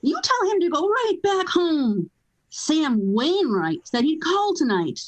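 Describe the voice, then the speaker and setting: A woman playing a nosy, bossy mother in an old-fashioned radio play, with shrill, scolding calls. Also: very animated, slow pace, full character voice, poor audio.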